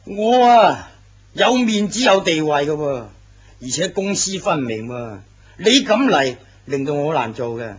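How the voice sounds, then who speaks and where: An actor playing an arrogant, domineering company president in a radio drama. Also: male voice, fairly high pitch, sing-song, a little slow, breathy edge, exaggerated voice. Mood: dismissive. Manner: curt, domineering. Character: arrogant middle-aged male company president. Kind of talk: Cantonese radio drama acting. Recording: dull lo-fi recording.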